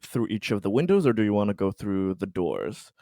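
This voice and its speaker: A man's voice: lowish voice, sing-song, brisk, warm tone, no room sound, clean studio sound. A man talking casually as a player in a tabletop roleplaying game.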